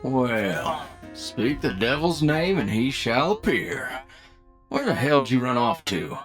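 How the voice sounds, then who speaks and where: A man's voice: mid-range voice, animated, slow pace. A man playing a wry, talkative bounty hunter team leader in an anime-style audio roleplay, with a casual, folksy manner. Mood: exasperated. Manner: casual, folksy, hesitant asides. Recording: music behind the voice.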